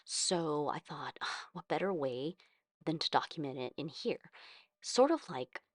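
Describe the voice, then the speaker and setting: A woman's voice: low-pitched, expressive, a little slow, dry recording, studio quality. A woman with an American accent chatting casually in a rambling, conversational way, thinking aloud as she hosts a craft video. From California, United States. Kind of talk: casual chat about journaling.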